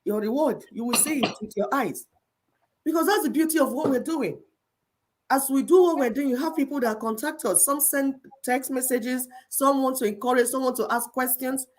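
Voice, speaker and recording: A middle-aged woman with a Nigerian Igbo accent delivers fervent commentary. Her voice sits a little high. She drives her points home with repetition and a preaching rhythm. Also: moderate pace, poor audio. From Igbo people of Nigeria. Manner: emphatic, preaching cadence with repetition. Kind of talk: impassioned commentary.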